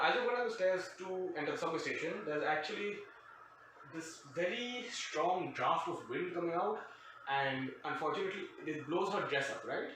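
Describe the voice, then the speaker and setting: A man's voice: on the high side, fairly bright tone. A man telling a casual comic anecdote in a wry, sarcastic, digressive way. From grew up outside the country where they now live. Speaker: storyteller, host of a storytime show. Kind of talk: casual comedic anecdote storytelling. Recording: very echoey room, distant mic, faint noise.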